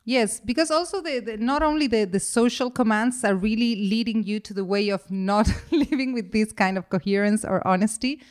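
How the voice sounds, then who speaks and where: A woman's voice: on the high side, moderate pace, few pauses. A woman who is a podcast guest, speaking earnestly and often restarting, with the phrase "to me" coming back again and again. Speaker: interview guest. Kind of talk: earnest conversational interview.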